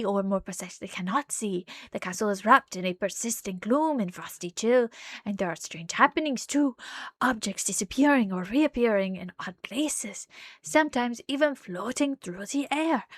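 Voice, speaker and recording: A woman voicing a polite, fretful male candlestick servant from a video game, in a rough French accent with courtly, hesitant phrasing. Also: fairly high pitch, no echo. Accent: rough put-on French.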